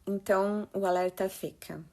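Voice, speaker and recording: A woman's voice: medium pitch, steady tone, normal speed, mellow. A woman with a Brazilian Portuguese accent, a health professional giving advice to parents and colleagues in an emphatic, urgent way. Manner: emphatic, urgent, addresses listeners directly. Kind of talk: passionate talk.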